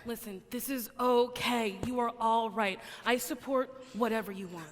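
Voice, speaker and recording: A woman's voice: a little high, fairly even intonation, moderate pace, natural voice. A woman playing a blunt, protective best friend in a live comedic radio play, speaking emphatically. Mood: reassuring. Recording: big theater hall, long reverb, noise.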